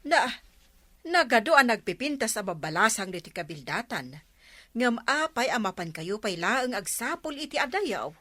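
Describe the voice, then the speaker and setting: A woman's voice: fairly high pitch, very animated, moderate pace, pushed and bright, dry recording, full character voice. An actress playing a skeptical, bossy, older unmarried village councilwoman in a comedy radio drama.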